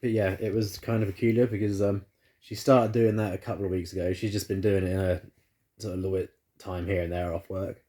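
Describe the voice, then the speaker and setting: A man's voice: low voice, level tone, fairly quick, dark tone. A man vlogging casually from his living room, rambling with fillers, still congested after the flu, recorded on a phone. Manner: rambling, many fillers and restarts. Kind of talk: casual chat to camera. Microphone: phone camera.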